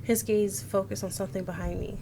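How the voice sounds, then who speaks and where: A woman's voice: mid-range voice, steady tone, brisk, gravelly, noisy background. A woman streamer reading visual novel text aloud, expressively voicing the narration and character lines. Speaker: game streamer. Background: game music.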